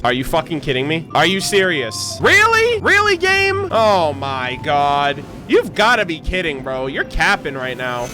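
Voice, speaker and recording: Animated male streamer reacting to gameplay. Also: fairly high pitch, measured pace, few pauses, over music. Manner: slangy, sweary, reactive. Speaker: gaming streamer. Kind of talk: casual live gameplay reaction commentary.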